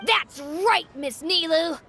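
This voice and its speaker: A woman voicing a feisty, protective young child in a video game, forceful and exclamatory. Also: extremely high pitch, slow, a little hiss or hum, heavily acted. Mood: fierce loyalty. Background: background music.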